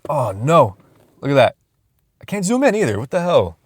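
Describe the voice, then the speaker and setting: A man's voice: a little high, big pitch swings, moderate pace, slightly rough, no echo. A young man vlogging from inside a car, musing aloud in a loose, rambling way with frequent restarts, recorded on a phone camera. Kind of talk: casual rambling vlog.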